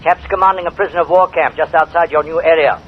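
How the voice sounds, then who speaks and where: An actor playing a blustering British army general with clipped, hesitant military speech in a vintage radio drama. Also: male voice, on the high side, steady tone, quick pace, close to an everyday voice. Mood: gruff, apologetic. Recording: dull, faintly noisy old radio recording.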